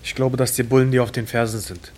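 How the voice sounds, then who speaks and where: A man's voice: mid-range voice, little pitch movement, fast, a little mellow, close to an everyday voice. A man acting a streetwise young petty criminal in an audio drama, slangy, brash and blasé. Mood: serious, placating.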